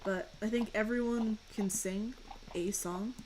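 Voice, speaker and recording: A young woman with a fairly deep voice, chatting casually and rambling while she streams a game and answers questions from chat. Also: fairly even intonation, measured pace, darkish tone, a little hiss or hum, low-quality recording.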